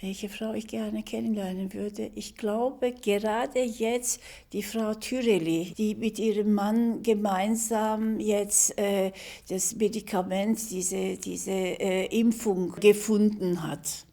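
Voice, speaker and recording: A woman in her seventies giving an interview answer, slow and halting with many pauses. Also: medium pitch, fairly even intonation, gravelly, mellow. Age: 70s. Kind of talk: reflective interview clip.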